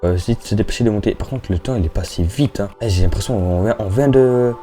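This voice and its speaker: A man's voice: lowish voice, quick pace, dark tone, faint music behind. A young man giving casual, slangy Minecraft let's-play commentary, chatting and thinking aloud as he plays. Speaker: gaming YouTuber.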